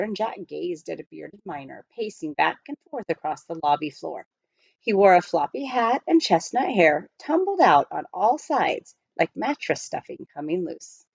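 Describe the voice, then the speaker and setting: A woman reading a children's novel aloud as scripted narration, with expressive storytelling. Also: medium pitch, creaky, husky, dry recording, muffled recording. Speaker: audiobook reader.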